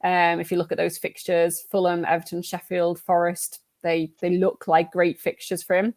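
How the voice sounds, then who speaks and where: A woman guest on a football fantasy podcast, talking in a relaxed, self-deprecating way with many "um"s. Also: lowish voice, normal speed, no echo, clean studio sound. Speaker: guest analyst. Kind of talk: casual chat.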